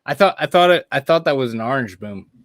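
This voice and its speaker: A man's voice: mid-range voice, lively intonation, fast, slight background noise. A man hosting a casual chat over beers on a video call, laid-back and joking, laughing easily. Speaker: podcast host. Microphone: computer mic without headphones, video call.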